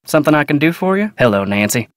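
A man's voice: fairly high pitch, very animated, fast talker, no echo, clean studio sound. A man playing a polite, plain-spoken, guarded ranch hand in a video game, with a folksy, drawling American accent. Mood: polite, firm. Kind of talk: video game character dialogue.

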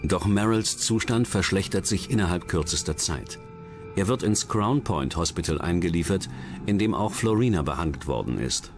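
A man narrates a true-crime documentary from a script. His voice sits on the low side, and music plays clearly underneath it. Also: animated, normal speed.

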